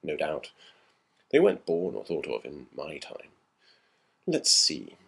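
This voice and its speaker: Man reading as deep-voiced, musing reminiscing gentleman. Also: huge pitch swings, slow pace, vocal fry, breathy edge, exaggerated voice. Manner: musing, conversational. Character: reflective gentleman recalling his old school days. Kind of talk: storybook character dialogue.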